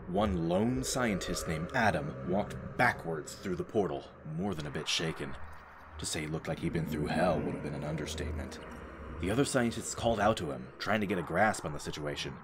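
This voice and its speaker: A man with a low voice narrates a dramatic story in a wry, informal way. Faint ambient noise sits behind his voice.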